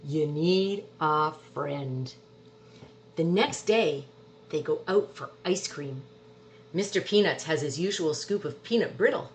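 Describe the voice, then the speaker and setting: A woman's voice: fairly low pitch, slight background noise. A woman reading a children's storybook aloud expressively, drawing out words for emphasis. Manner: animated, drawn-out words for emphasis. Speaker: storybook reader. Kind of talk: expressive children's picture book read-aloud.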